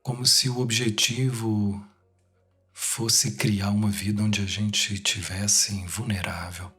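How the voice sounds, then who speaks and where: A Brazilian man leading a guided meditation, speaking in short, unhurried phrases with long pauses between them. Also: on the low side, little pitch movement, sharp tone, slightly rough. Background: music.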